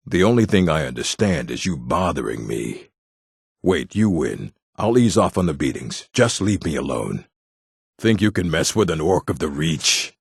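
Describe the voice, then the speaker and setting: Fantasy game orc: proud, curt and stern, deep rough voice, very dry close sound. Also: male voice, no echo, full character voice. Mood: gruff, irritated, then challenging, scornful. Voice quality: gravelly, rough. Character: gruff adult male orc, blunt proud fantasy warrior folk. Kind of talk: video game character voice lines.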